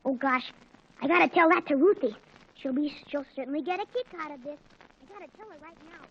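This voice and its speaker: A woman's voice: high voice, brisk. A woman playing a pesky, gossipy little boy in 1940s radio comedy, baiting coyly and repeating himself. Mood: gossipy excitement. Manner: repetitive, coy baiting. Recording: muffled 1940s radio recording.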